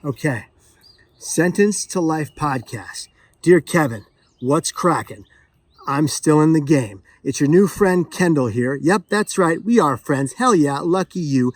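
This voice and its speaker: A man's voice: a little high, lively intonation, a little slow, no room sound. A man chatting casually as a vlogger, exuberant, playful and emphatic in his delivery. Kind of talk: excited casual vlog chat while opening a parcel.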